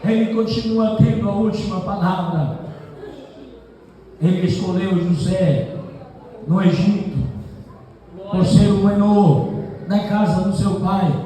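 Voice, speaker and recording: A man preaching a fervent sermon as pastor, often shouting, whose first language is not Portuguese. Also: high voice. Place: large church hall. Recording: roomy hall from far off, congregation.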